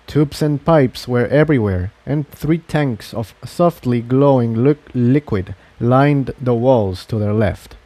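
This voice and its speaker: A man reading an adventure fan fiction story aloud as scripted narration, in a mellow voice. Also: medium pitch, slow pace, hardly any pauses, no echo.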